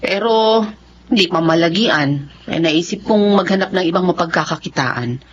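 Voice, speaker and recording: A woman acts a caring Filipino mother in a radio drama. Her pitch rises and falls widely as she soothes and explains. The sound is slightly muffled. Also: lively intonation, moderate pace, slight background noise, natural voice. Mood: earnest. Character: caring, hardworking mother of young children.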